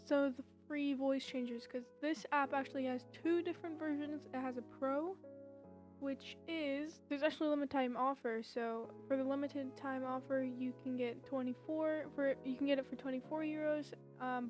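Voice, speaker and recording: Young woman, high-pitched voice, casual tutorial host, informal step-by-step explaining, background music. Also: darkish tone.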